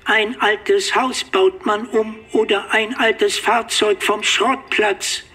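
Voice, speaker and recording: An actor playing a touchy, pedantic male robot in a radio play, speaking slowly and precisely. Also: high voice, fairly even intonation, sharp tone, breathy edge, exaggerated voice. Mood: indignant. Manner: pedantic and offended.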